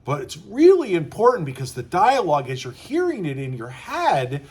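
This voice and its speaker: Casting: male writing coach, somewhat high-pitched, informal and conversational, slips into animated self-quotes while advising. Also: moderate pace, breathy edge. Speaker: screenwriting coach. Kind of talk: casual advice talk to camera.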